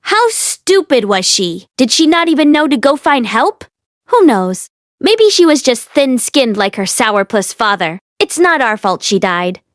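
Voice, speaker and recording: Woman voicing a callous, sneering young man, lively pitch. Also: measured pace, no room sound, clean studio sound. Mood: smug contempt. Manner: scornful, dismissive, whispery gossip. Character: callous, sneering young brothers, gossiping spoiled men.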